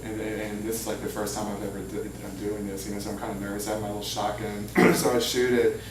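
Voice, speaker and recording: A man's voice: lowish voice, little pitch movement, quick pace. A man, speaking informally as an author at a public talk, with self-deprecating, anecdotal delivery. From Louisiana, United States. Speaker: author speaking at a book reading. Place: indoor humanities center event room. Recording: echoey, noisy hall, far from mic.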